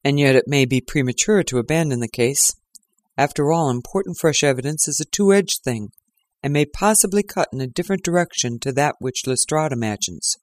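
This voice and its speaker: A reader voicing a measured, dryly ironic male detective in a storybook reading. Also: medium pitch, lively intonation, dry recording, lightly acted.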